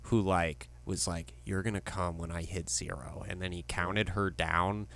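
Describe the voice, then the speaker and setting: A man's voice: deep voice, steady tone, unhurried, vocal fry, fairly bright tone. A man who is a comedian guest on a podcast, telling stories in a wry, chatty way. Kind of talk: casual comedy podcast chat.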